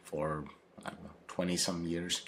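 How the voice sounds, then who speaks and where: A man from Finland, answering interview questions in a halting way, full of fillers and restarts. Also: sits low, flat delivery, fairly bright tone. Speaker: podcast guest, company founder.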